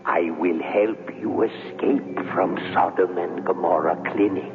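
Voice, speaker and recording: An actor playing an eerie, commanding being who speaks from a picture, hypnotic and portentous, with cavernous reverb, in a 1970s radio drama. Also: male voice, sits low, measured pace. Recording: reverberant, tinny, hissy old radio recording.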